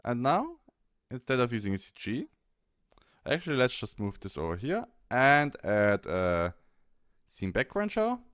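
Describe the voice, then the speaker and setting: A man narrating a software tutorial, explaining things step by step. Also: mid-range voice, sing-song, measured pace, long pauses, clear, resonant tone. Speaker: tutorial host. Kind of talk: software tutorial narration over screen recording.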